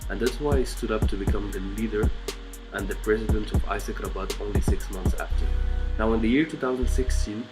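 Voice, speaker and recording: Earnest young man over loud music. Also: lowish voice, rough recording. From Morocco. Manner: earnest, measured, pausing between list items. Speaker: candidate. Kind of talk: personal pitch video.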